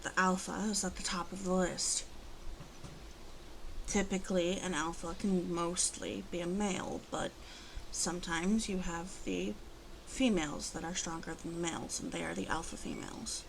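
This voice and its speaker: A woman playing a protective, commanding female werewolf in an ASMR roleplay, talking slowly and deliberately to the listener in a soft, guarded way. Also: lowish voice, steady tone, vocal fry, slight background noise, low-quality recording. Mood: matter-of-fact, explaining.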